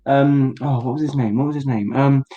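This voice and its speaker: A man's voice: mid-range voice, fairly even intonation, normal speed, mellow, lo-fi sound. A man co-hosting a comedy podcast, asking absurd questions in a dry, fake-serious, hesitant way. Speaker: podcast co-host. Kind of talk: deadpan mock interview.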